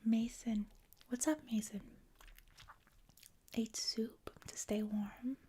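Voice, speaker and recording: A woman with a Canadian accent, a native French speaker, hosting a livestream in a hushed, whispery ASMR style, chatting casually with viewers. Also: on the high side, steady tone, slow, breathy edge. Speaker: livestream host. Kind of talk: soft-spoken ASMR, casual chat with viewers.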